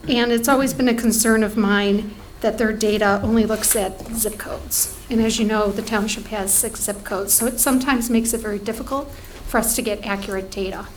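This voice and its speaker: A woman's voice: mid-range voice, fairly even intonation, normal speed, few pauses, a little hiss or hum, low-quality recording. A woman giving a report at a township board meeting, talking conversationally with many ums and restarts, her voice fading from allergies.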